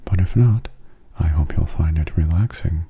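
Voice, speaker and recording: A man giving soft-spoken ASMR gameplay commentary in a hushed, breathy, unhurried and casual way, close to the microphone. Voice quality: breathy, husky low voice. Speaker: gaming ASMR video host. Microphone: close mono microphone.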